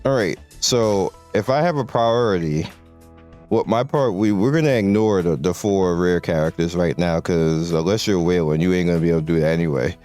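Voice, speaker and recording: A man's voice: low-pitched, normal speed, darkish tone, faint music behind. A man losing his voice, giving laid-back, slangy game commentary with lots of restarts. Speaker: mobile game content creator.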